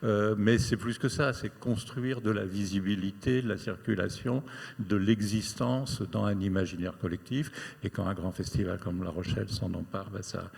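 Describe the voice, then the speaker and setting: A man's voice: fairly low pitch, steady tone, normal speed, vocal fry, a little mellow. A man moderating a festival panel, thoughtful and searching for his words as he introduces the topic and the guest. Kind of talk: panel discussion. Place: festival screening hall. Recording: long hall reverb.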